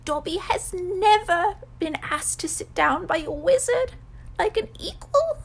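A woman voicing a small male house elf in a storybook reading, squeaky and high-pitched, earnest, pleading and servile. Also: big pitch swings, unhurried, fairly bright tone, exaggerated voice. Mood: tearful wailing. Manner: earnest, pleading, speaks of self in third person, deferential.

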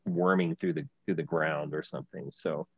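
A man's voice: rumbling low, fairly even intonation, normal speed, slightly rough, no echo, dull audio. A man co-hosting a podcast, chatting casually and rambling with lots of restarts. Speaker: podcast co-host. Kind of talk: casual chat.

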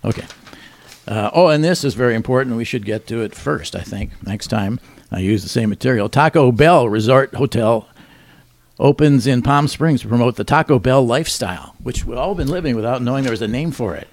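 Gravelly wry talk-show man. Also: medium pitch, fairly quick. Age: middle-aged. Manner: wry, deadpan punchlines, chuckles at own jokes. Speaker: radio show co-host. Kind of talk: joking news rundown.

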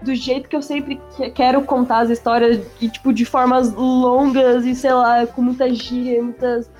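A woman with a São Paulo accent chatting casually, full of slang and swearing, speeding up when she gets excited. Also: on the high side, moderate pace, lo-fi sound, soft background music. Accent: São Paulo Portuguese. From São Paulo, Brazil. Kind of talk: casual chat.

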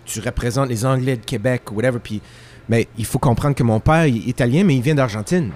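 A man's voice: medium pitch, fast, a little mellow. A Québécois musician guest in a casual interview, switching between French and English with frequent restarts. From Quebec, Canada.

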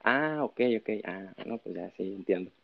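A young man from Tijuana with a Tijuana Mexican accent, co-hosting a podcast in animated casual chat and acting out quotes. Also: sits low, gravelly, husky. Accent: Tijuana Mexican Spanish. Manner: animated, quick, acts out quotes. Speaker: podcast co-host. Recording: dull lo-fi sound.

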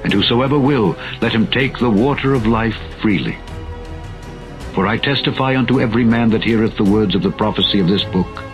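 A man narrating a dramatized scripture reading in a solemn, measured, formal manner. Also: fairly low pitch. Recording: dull lo-fi audio with music behind. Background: background music.

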